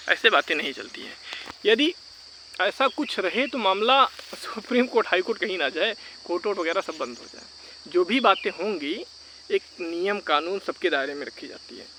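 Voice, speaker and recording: A man's voice: high-pitched, pushed and bright, slight background noise. A man giving informal, argumentative advice, insistently repeating his points and mixing in English words. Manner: mixes English words into speech. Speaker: vlogger advising exam candidates.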